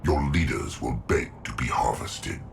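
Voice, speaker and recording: An actor playing an ancient, menacing machine overlord in a video game, with pompous, contemptuous declamation and a gravelly voice. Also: male voice, rumbling low, full character voice. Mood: cold menace. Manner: pompous, ominous, slow declamation. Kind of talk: video game villain acting. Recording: music behind the voice.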